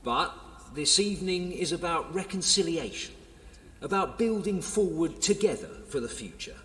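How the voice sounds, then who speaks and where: A man's voice: on the high side, expressive, moderate pace, fairly bright tone. An actor playing a defensive, placating male police official with an English accent in an audio drama. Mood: formal. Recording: reverberant hall, distant mic.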